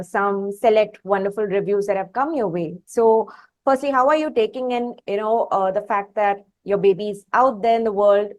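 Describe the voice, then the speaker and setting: A woman with an Indian accent hosting a conversational music interview, phrasing her questions in a hesitant, pausing way. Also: mid-range voice, a little mellow. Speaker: interview host.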